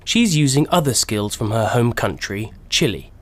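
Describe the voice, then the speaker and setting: A man giving scripted narration for a language-learning documentary, with a lively delivery. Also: mid-range voice, normal speed. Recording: narration over clear music.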